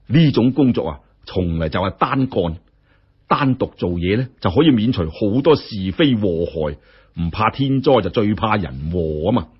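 A man telling a story in colloquial, expressive narration with wry asides. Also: lowish voice, moderate pace, husky. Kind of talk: dramatic audiobook-style narration. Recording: dull, muffled lo-fi audio.

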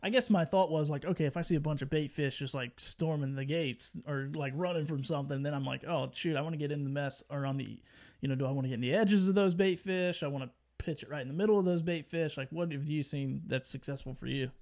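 A man's voice: fairly high pitch, fast, a little mellow. A young adult man co-hosting a podcast, asking laid-back, rambling questions over a video call. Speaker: co-host. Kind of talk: casual podcast chat.

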